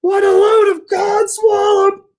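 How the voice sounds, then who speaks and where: A man voicing an indignant female catfish with shrill exclamations, for a children's picture book. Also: little pitch movement, takes it slowly, darkish tone. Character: indignant female catfish, short-sighted friend.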